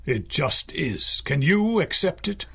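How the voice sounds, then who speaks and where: Slow, husky, enigmatic mystic hero. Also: male voice, on the high side, sing-song, a bit bright, exaggerated voice. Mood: solemn, commanding. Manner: measured, formal, mysterious. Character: solemn, enigmatic male mind-reading mystic hero. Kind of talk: 1930s pulp radio drama acting.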